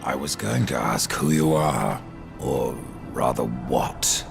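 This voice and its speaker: A man playing a grim, imperious horseman of the apocalypse in a dark fantasy game, curt and menacing, with a gravelly voice. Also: a bass voice, slow, music in the background, exaggerated voice. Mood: dry.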